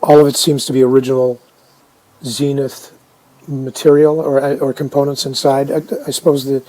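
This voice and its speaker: Man, mellow dark voice, flat intonation, casual how-to commentary, unhurried, halting delivery. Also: medium pitch, poor audio. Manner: unhurried, halting, thinking aloud. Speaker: hobbyist narrating a radio restoration video.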